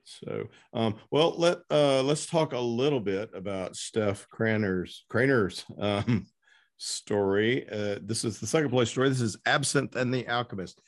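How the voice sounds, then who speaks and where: A man hosting an unscripted literary interview as interviewer, speaking haltingly with pauses mid-sentence. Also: mid-range voice. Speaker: interviewer and host.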